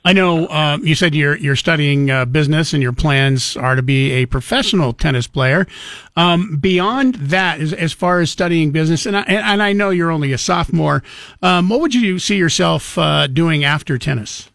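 A male interviewer asking long, rambling questions with halting restarts. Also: on the high side, lively intonation, gravelly, a bit bright, dry recording.

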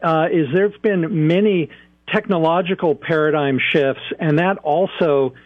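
A middle-aged father on a call-in show, speaking in a measured, thoughtful way, with a few restarts. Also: on the high side, steady tone, no echo. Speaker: parent guest on a call-in show. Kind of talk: reflective conversational talk. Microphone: telephone. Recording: tinny phone line.

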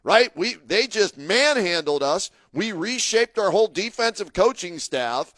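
A man's voice: high voice, very animated, measured pace, a bit bright, no room sound. A man co-hosting a sports talk radio show, talking casually and getting emphatic as he argues a point. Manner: chatty, emphatic, gets fired up arguing.

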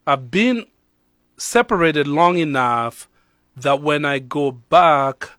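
A middle-aged man from Kenya with a Kenyan accent, a pastor talking as a guest in an easygoing, soft-spoken way. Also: fairly high pitch, slow, no room sound, studio quality. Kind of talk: casual conversation.

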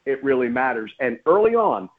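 A man's voice: medium pitch, sing-song, fairly quick, slightly rough. A man speaking as a radio guest and play-by-play announcer, giving emphatic sports commentary and repeating phrases for stress. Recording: phone-like narrow-band radio line.

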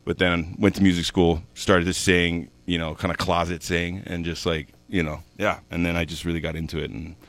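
A man's voice: sits low, fairly quick, few pauses, no echo. A young man from Hawaii with a froggy voice, chatting casually as a guest musician and telling stories with lots of fillers. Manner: relaxed storytelling, many fillers. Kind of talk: casual chat.

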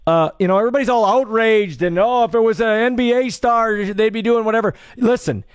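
A man's voice: high-pitched, brisk, clear, resonant tone, dry recording, studio quality. A man hosting a radio sports show, talking in an easygoing, casual way. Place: radio studio.

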